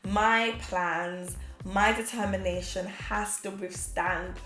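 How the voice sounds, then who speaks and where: A woman's voice: medium pitch, slow. Upbeat young woman vlogging in an echoey room. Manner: energetic, expressive, gestures a lot, laughs often. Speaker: vlogger, motivational host. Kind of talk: casual motivational chat to camera. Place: small indoor room, seated on a chair. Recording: roomy echo with faint background music.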